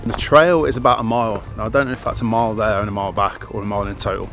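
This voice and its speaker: A man's voice: on the low side, fairly even intonation, very fast, fairly bright tone, faint music behind. A man chatting casually to camera outdoors as a travel vlogger, upbeat and loose with fillers. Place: outdoors on a road trip.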